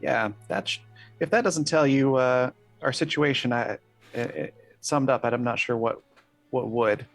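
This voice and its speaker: Male tabletop player, medium pitch, casual and hesitant improvised delivery, music underneath. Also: fairly even intonation, a little slow, no echo. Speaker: player in a tabletop role-playing game. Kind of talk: casual improvised game play.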